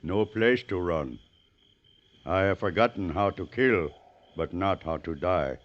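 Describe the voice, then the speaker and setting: An actor playing a weary, dignified old Pawnee chief in a radio western, speaking in halting, simple sentences. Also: male voice, low-pitched, expressive, lo-fi sound. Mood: grave, resigned. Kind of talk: old-time radio drama acting.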